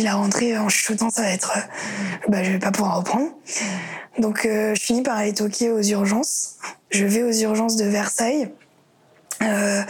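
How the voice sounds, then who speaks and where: A woman's voice: medium pitch, a little mellow. A young woman telling her story in an interview, hesitant and reflective with many fillers. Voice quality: very breathy, husky voice. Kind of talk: personal testimony interview.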